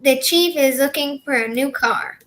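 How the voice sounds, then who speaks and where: A woman playing a brisk police dispatcher in an old-time radio comedy, giving clipped official bulletins. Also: high-pitched, fairly bright tone.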